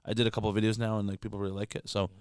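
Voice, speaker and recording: A man's voice: lowish voice, flat delivery, clean tone, no room sound, studio quality. A man from Canada, a guest comedian, chatting candidly on a podcast and swearing freely as he talks. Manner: rapid, candid, profane, heavy filler words. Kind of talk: casual podcast chat.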